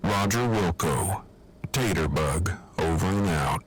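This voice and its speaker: Man acting a low-voiced, formal, deadpan radio companion. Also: takes it slowly, over music. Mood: fond. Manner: wordy, formal, deadpan phrasing. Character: formal, precise, dryly affectionate man on a walkie-talkie. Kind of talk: fan audio drama acting.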